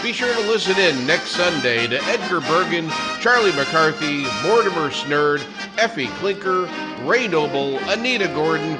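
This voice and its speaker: A man acting as a polished old-time network announcer. His fairly high voice rises and falls with formal flourish over loud music. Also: close to an everyday voice, poor audio. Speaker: radio announcer. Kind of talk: 1940s radio announcing, scripted.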